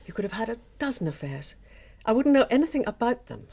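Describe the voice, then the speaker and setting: An actress playing a hurt, suspicious middle-aged wife in a radio drama, with a British accent and an insistent, sarcastic delivery. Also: female voice, a little high, sing-song, fast, a little mellow. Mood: hurt, accusing.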